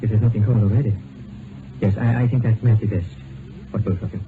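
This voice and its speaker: A man acting a grave male doctor in a vintage radio play, formal and halting, with apologetic care. The sound is narrow as a phone line, with clear noise. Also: lowish voice, fairly even intonation, lightly acted. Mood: formal, uneasy. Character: grave, hesitant male doctor bearing bad news. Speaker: actor. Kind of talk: old-time radio drama acting. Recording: narrow, noisy old radio recording.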